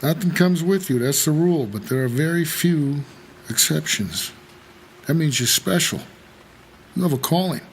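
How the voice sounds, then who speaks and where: An American actor playing a weary, guarded supernatural driver who narrates an audio drama in terse, measured noir style. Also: male voice, a little high, expressive, a little hiss or hum, poor audio. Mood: calm, reassuring.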